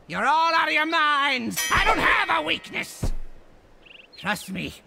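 A man's voice: very high-pitched, very animated, slow, vocal fry, loud music behind the voice, heavily acted. A man voicing a boastful, theatrical cartoon monster villain for a video game, with a hammy, swooping delivery. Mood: gloating, manic.

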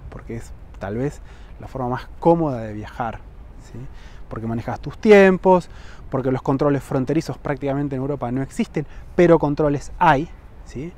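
A man in his thirties with a Rioplatense Spanish accent, vlogging outdoors on a lapel mic. He explains travel rules to the camera and keeps checking in with "¿sí?". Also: medium pitch. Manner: checks in with frequent "¿sí?" tags, lively emphasis. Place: outdoors on a lawn by buildings, sunny.

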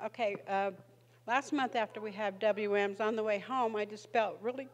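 A woman speaking to a congregation softly and hesitantly, emotional as she talks. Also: medium pitch, fairly even intonation, moderate pace, lo-fi sound. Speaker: women's ministry speaker. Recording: distant and reverberant in a church hall.

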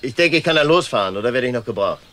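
An actor playing a middle-aged widowed husband in a crime drama, measured, halting and evasive. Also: male voice, mid-range voice, expressive, pushed and bright. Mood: composed, businesslike. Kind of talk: German television crime drama acting.

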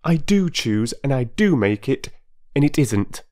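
A reader voicing an impetuous, excitable middle-aged woman who pleads in an eager rush. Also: medium pitch, unhurried, pitched above the performer's own voice. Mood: impatient. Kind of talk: audiobook character voice.

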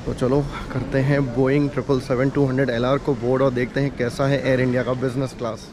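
A man's voice: mid-range voice, little pitch movement, fairly quick, over music. A young man narrating a casual travel vlog, chatty and upbeat as he walks and talks to a camera held at arm's length in a large airport terminal. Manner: chatty, upbeat, walking and talking to a handheld camera. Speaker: travel vlogger. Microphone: action camera held at arm's length. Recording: noisy terminal ambience.

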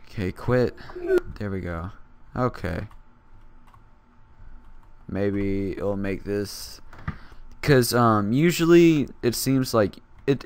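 A teenage boy hosting casual gameplay commentary, raspy from just waking up, rambling and self-deprecating with lots of fillers. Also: lowish voice, fairly bright tone. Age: teens. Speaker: gaming channel host.

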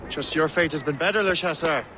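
A bold young sea captain, voiced by a man, with a slightly raised pitch and a sure, commanding manner. Steady ship noise runs behind him. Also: brisk, lightly acted. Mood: calm. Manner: confident, commanding.

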